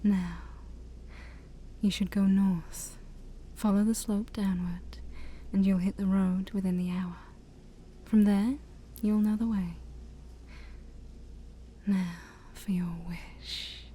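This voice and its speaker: A woman voicing a shy, haughty, ancient demon princess in a soft-spoken ASMR roleplay, breathy and often near a whisper, with formal, theatrical wording and awkward hesitations. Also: mid-range voice, flat delivery, takes it slowly, long pauses. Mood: gently pleased.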